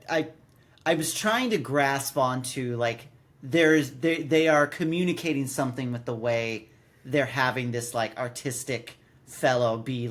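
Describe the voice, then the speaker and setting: A man of thirty-nine co-hosting a podcast in casual chat, often stammering and doubling back as he explains. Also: mid-range voice. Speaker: podcast co-host.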